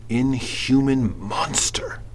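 An actor playing a grave, indignant police commissioner in an old-time radio drama, earnest and dramatic. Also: male voice, medium pitch, expressive, slow, breathy edge. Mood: grim disbelief.

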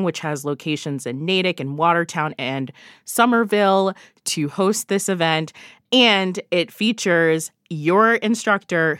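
A woman's voice: lowish voice, a bit bright, clean tone, no room sound, clean studio sound. A woman guest on a radio podcast, recommending events in an upbeat way and laughing often. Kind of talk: casual radio chat.

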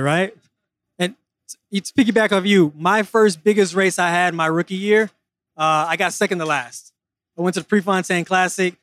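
A man hosting an interview in a lively, upbeat way, speaking outdoors at a loud racetrack. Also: sits high, no echo, clean studio sound. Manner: upbeat, hype-driven. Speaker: host.

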